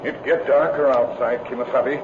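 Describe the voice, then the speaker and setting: An actor playing a loyal, stoic companion to a western hero in a 1950s radio adventure, in terse, clipped broken English. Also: male voice, mid-range voice, a little fast, natural voice. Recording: tinny, noisy old radio broadcast.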